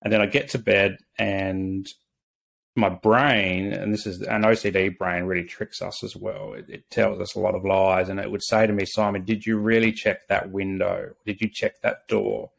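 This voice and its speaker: A man's voice: on the low side, level tone, moderate pace, vocal fry, a bit bright. An Australian man in his thirties, a social worker, earnestly telling his own story on a video call with many restarts and fillers. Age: 30s. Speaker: podcast guest, social worker. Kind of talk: casual interview, personal story. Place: small home office. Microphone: video call webcam.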